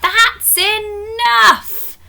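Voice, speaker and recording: A woman's voice: very high voice, fairly even intonation, drawn-out words, vocal fry, sharp tone, pitched above the performer's own voice, exaggerated voice. A woman voicing a cross, exasperated witch schoolteacher in a storybook, with stern, emphatic delivery.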